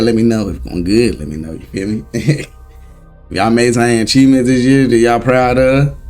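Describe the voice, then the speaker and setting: Slightly raspy, laid-back young male vlogger. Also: mid-range voice, darkish tone. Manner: rambling, laid-back slang, chuckles often. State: hoarse, voice recovering. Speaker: reaction channel host. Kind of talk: casual chat to viewers. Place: home room. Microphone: desk setup at a laptop.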